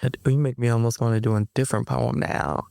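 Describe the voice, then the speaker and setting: A woman guest and performer in a reflective interview, speaking slowly and pausing to find her words, with slangy asides. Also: extremely low pitch, fairly even intonation, gravelly, a little mellow, dry recording, clean studio sound.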